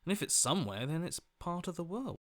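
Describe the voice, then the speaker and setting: A man's voice: fairly high pitch, sing-song, fast. A man voicing an earnest, questioning heroic inner voice in a video game, reasoning things out as he talks. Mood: puzzled, questioning.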